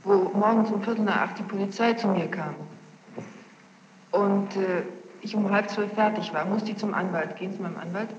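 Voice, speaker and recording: A woman giving courtroom testimony as a witness, defensive and halting, often repeating herself. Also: medium pitch. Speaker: witness under questioning. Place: large echoey courtroom, archive tape. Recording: very noisy muffled tape, echoey hall.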